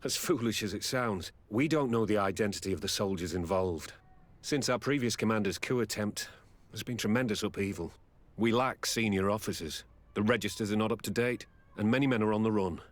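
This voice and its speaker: An actor playing a guarded army lieutenant in a video game, speaking in a formal, deferential way. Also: male voice, lowish voice, a little fast, natural voice. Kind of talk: dramatic video game dialogue.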